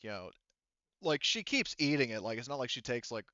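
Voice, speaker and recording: A man narrating a tabletop roleplaying game as game master, improvising in a halting, thinking-aloud way. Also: mid-range voice, sing-song, pushed and bright, no echo, dull audio.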